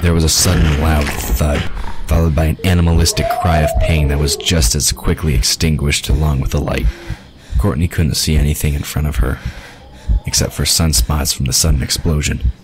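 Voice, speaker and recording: A man's voice: extremely low pitch, fairly even intonation, normal speed, crowd noise, low-quality recording. A man narrating a scripted fantasy audio drama. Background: ambient sound effects.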